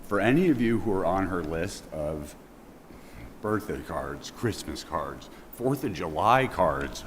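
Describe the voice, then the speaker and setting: Man, 50s, very low voice, loud and informal, faint hiss. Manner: loud, casual speaking with chuckled asides. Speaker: nephew speaking at a memorial. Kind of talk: eulogy mixing reading and off-script remarks. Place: church sanctuary. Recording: long church reverb, faint background hiss.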